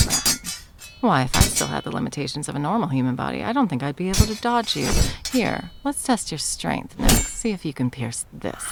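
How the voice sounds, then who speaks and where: A woman's voice: mid-range voice, vocal fry, very noisy. A woman voicing an arrogant, undying sorceress tyrant in an ASMR roleplay, taunting, theatrical and condescending. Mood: mocking, impressed. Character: arrogant undying sorceress tyrant, mocking, easily bored, playful. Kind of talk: ASMR roleplay audio drama.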